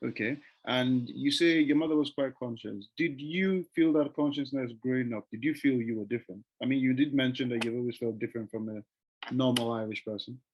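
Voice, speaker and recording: A man's voice: medium pitch, brisk, dull audio. Upbeat male interview host. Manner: upbeat, repeats himself, rephrases questions. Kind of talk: interview show hosting.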